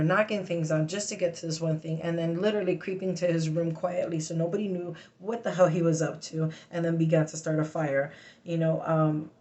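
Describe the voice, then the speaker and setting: A woman venting in a casual personal story, blunt and sweary, with halting, emphatic, agitated speech broken by sudden vocal tics. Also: fairly low pitch, a little mellow, a little hiss or hum. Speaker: vlogger telling a personal story.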